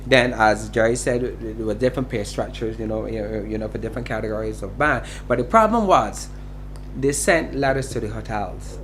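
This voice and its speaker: Halting musician recalling the past. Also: male voice, on the low side, a bit bright, a little hiss or hum. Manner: recounting, halting with many fillers. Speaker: hotel band musician. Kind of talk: casual conversation.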